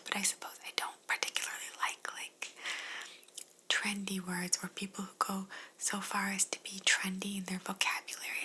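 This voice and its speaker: A woman speaking in a breathy whisper, chatting casually and gently as she answers questions in an ASMR style. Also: medium pitch, rough recording. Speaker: ASMR video creator answering viewer questions.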